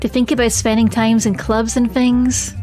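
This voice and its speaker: A woman's voice: on the high side, level tone, moderate pace, darkish tone. A woman streaming a game, chatting expressively and reading the story text aloud. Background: faint music.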